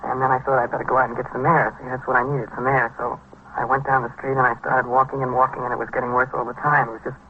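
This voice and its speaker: Man playing a fast-talking, jittery young drug addict. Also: medium pitch, level tone, natural voice. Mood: agitated, desperate. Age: young adult. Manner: rambling, halting, repetitive, agitated. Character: jittery, sick young male drug addict confessing to police. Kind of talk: vintage radio crime drama acting. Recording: dull, noisy vintage radio recording.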